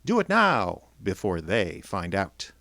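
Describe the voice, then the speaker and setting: A male announcer reads scripted radio theater copy with a very animated, rising and falling delivery. His phrasing is deliberate and theatrical. The sound is dry, with almost no room. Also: low-pitched, creaky, no echo. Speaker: announcer and host.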